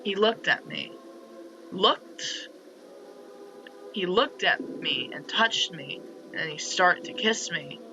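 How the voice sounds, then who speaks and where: A man voicing a wounded, penitent young girl in a visual novel, halting and apologetic. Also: high-pitched, animated, music in the background, exaggerated voice, poor audio. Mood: halting, hurt.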